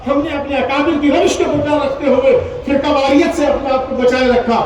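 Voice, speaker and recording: Male cleric, public religious oration, emphatic repetition, exhortatory, very high pitch, distant echoey sound. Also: steady tone, brisk, darkish tone. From Poonch, Jammu and Kashmir, India. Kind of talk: impassioned Urdu public oration at a religious gathering. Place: large gathering, speaking from a stage. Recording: echoey public address, distant, faint noise.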